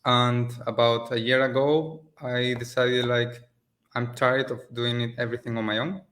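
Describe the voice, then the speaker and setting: A man from Spain, a native Spanish speaker in his late twenties, talking relaxed and casual as a guest on a video call, with loose phrasing and restarts. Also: mid-range voice, moderate pace. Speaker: agency owner, guest. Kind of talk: casual interview over a call. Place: rented office in Mexico.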